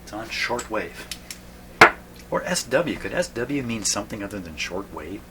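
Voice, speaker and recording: A man's voice: lowish voice, big pitch swings, moderate pace. A man thinking aloud in short, musing fragments as he gives a casual hands-on demonstration as a repair hobbyist. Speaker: vintage radio repair hobbyist and video host. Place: indoor radio workshop. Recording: loud background hum and radio noise.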